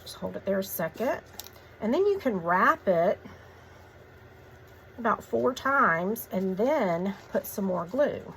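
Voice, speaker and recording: A woman hosting a craft tutorial, giving relaxed, chatty step-by-step instructions in a voice a little croaky from a cold. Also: medium pitch, takes it slowly, pauses often, a little hiss or hum.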